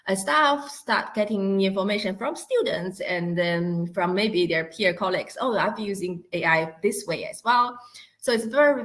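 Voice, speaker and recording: A woman with a Mandarin Chinese accent gives an academic presentation in English as a second language, explaining in a halting, semi-spontaneous way. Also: fairly low pitch, a bit bright. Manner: halting, explanatory, non-native phrasing. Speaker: guest speaker, university academic.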